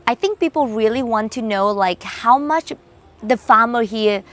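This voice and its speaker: A woman's voice: a little high, a little slow, a bit bright. A woman from Vietnam hosting an interview, chatting and asking questions in broken English. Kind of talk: casual interview chat.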